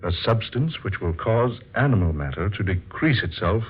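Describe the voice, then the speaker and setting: An actor playing a determined scientist in an old-time radio drama, speaking in a deliberate, formal, grave way. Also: male voice, lowish voice, very animated, slight background noise. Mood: precise, assured. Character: determined male scientist shrinking himself with his potion. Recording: dull lo-fi old radio recording, music.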